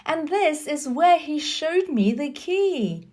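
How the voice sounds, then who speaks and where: A woman's voice: sits high, very animated, slow pace, a little mellow, pitched above the performer's own voice, heavily acted. A woman voicing an eager, friendly young girl acting as a guide in a storybook reading.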